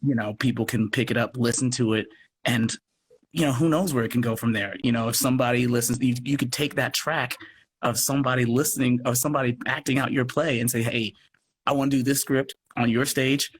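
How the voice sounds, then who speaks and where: A man talking earnestly in a casual online interview, haltingly as he thinks aloud. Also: mid-range voice, steady tone, breathy edge. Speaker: podcast producer and guest. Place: live social media video call.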